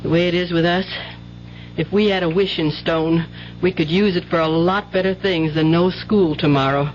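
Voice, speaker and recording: A woman's voice: lowish voice, steady tone, moderate pace, lightly acted. An actress playing a weary, exasperated mother in a 1970s radio drama, with blunt, folksy country talk. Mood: weary, bitter. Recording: muffled, hissy 1970s radio recording.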